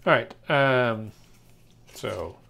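A man's voice: mid-range voice, animated, extremely slow, sharp tone. An American man in his thirties or forties giving a casual, informal unboxing commentary, thinking aloud as he goes. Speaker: product reviewer. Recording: clear background noise, handling clicks.

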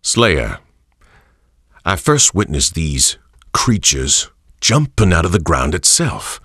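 A scholarly, ominous chronicler narrates a horror game journal. His voice is extremely deep and formal, with wide, deliberate swings of pitch, recorded very dry. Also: big pitch swings, fairly bright tone, slightly rough, no echo, full character voice. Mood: grave, ominous. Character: grave, scholarly male chronicler of monsters.

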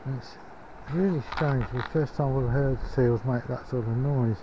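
A man's voice: medium pitch, normal speed. A man giving a casual running commentary on a clifftop walk, chatty and pointing out the sights as he goes, recorded outdoors on an action camera. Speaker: vlogger filming a coastal walk. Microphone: handheld action camera. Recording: dull camera audio with outdoor wind noise.

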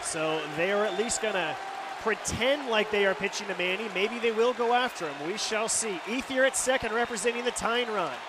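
High-pitched American baseball play-by-play man. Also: moderate pace. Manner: clipped, descriptive, reciting stats. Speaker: radio baseball play-by-play announcer. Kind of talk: live baseball play-by-play commentary. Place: stadium broadcast booth. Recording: loud stadium crowd noise. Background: ballpark crowd and stadium ambience.

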